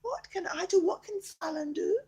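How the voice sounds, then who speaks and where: A woman's voice: very high-pitched, lively intonation, normal speed, background noise, voice pushed up. A woman acting out a tender, earnest storybook character who coos and coaxes a shy creature, repeating herself. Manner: cooing, coaxing, repetitive.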